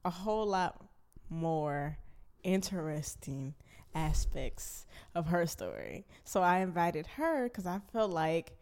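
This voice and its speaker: A woman's voice: lowish voice, animated, slow, darkish tone. Stuffy-nosed, chatty woman. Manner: chatty, slangy storyteller. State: stuffy, a little sick. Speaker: guest, film director. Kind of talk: casual interview chat.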